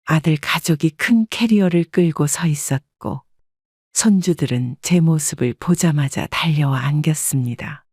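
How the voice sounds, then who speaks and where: Calm woman narrating a story. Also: low-pitched, normal speed, no room sound, clean studio sound. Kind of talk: scripted first-person story narration.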